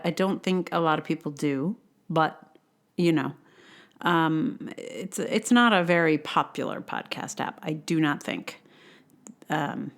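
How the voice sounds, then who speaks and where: A woman hosting a podcast, speaking in a conversational, wry way with emphatic stresses. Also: on the low side. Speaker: podcast host and blogger. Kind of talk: casual intro chat and read-aloud blog.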